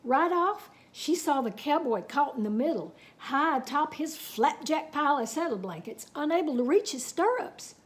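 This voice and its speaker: A woman reading a children's story aloud in an expressive, folksy, dramatic way with chatty asides. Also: high-pitched, moderate pace, hardly any pauses.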